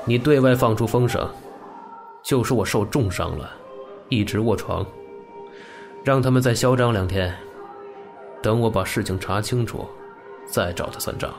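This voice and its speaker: Audio drama gangster, young played by a male actor: low, curt, brooding, reverberant with music behind. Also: moderate pace, long pauses, natural voice. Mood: cold, scornful. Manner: cool, curt, restrained. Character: cold, brooding young male gang member bent on revenge. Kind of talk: Chinese audio drama acting.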